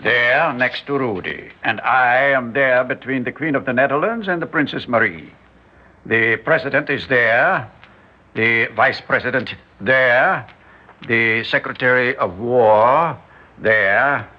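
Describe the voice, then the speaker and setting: An actor playing a precise, fastidious male conspirator in an old radio drama, formal and exacting in his speech. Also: mid-range voice. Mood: patient. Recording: muffled old radio recording.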